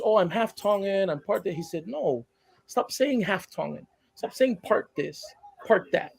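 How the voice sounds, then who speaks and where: A man from Hawaii talks as a guest on a live online panel, his voice sitting a touch high for a man. He speaks earnestly and leans into passionate emphasis as his points build. He sounds like he is on a home setup over a video call. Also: darkish tone.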